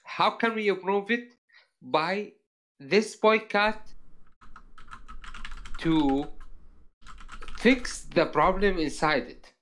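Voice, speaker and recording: A man's voice: sits high, lively intonation, fairly bright tone. A man whose first language is Arabic, talking casually on a live stream and often stopping to find his words. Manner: halting, repeats words while searching for phrasing. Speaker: guest player.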